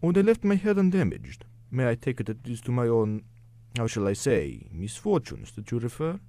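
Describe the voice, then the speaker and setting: A man acts as an older, courteous exiled scholar in a radio play. The voice is mellow and very expressive, swooping in pitch through formal, careful, hesitant phrases. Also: moderate pace, dry recording. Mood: courteous, bitter. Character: courteous, nervous, exiled Romanian male intellectual, older. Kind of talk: radio drama dialogue.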